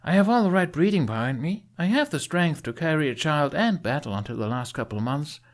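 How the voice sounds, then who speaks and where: A man voicing a proud, conflicted young Viking warrior woman in an audiobook, with emphatic, drawn-out delivery. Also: on the high side, animated, pitched above the performer's own voice. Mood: wistful, boastful.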